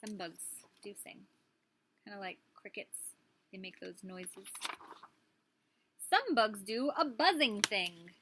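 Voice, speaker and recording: A woman's voice: medium pitch, very animated, slow pace, long pauses, background noise. A woman, a preschool teacher, reading a picture book aloud to young children and prompting them with questions.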